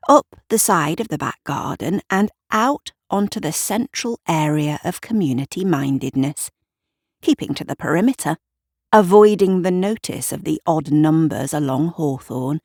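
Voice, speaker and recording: Female storyteller, low pitch, unhurried measured pace, atmospheric scripted drama narration, deliberate phrasing. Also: creaky, darkish tone, no echo, clean studio sound. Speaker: writer and narrator.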